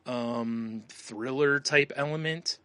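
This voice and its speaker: A man's voice: mid-range voice, slow pace, bright, forceful tone, clear, resonant tone, no echo. A man co-hosting a podcast in casual chat, rambling and laughing often as he talks. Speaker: podcast co-host.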